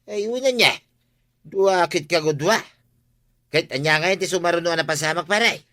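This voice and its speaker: A man's voice: on the high side, normal speed, bright, forceful tone, no echo, clean studio sound. A man with an Ilocano accent playing a nosy, easily baffled village friend in a radio comedy drama, prodding and teasing. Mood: amazed.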